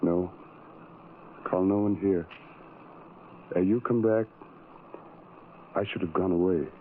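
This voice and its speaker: Low brooding sad outcast guy, drags his words out, old radio play. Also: steady tone. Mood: mournful, longing. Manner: halting, brooding, heavy pauses. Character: sorrowful, self-doubting ugly outcast man in love. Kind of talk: old-time radio drama acting. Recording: tinny, noisy old radio recording.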